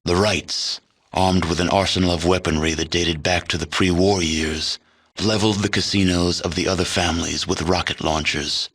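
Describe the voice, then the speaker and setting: A man narrating a video game as a grave, detached chronicler of a post-apocalyptic wasteland, in measured, matter-of-fact storytelling. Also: deep voice, a bit bright, breathy edge, exaggerated voice. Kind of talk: scripted game ending narration.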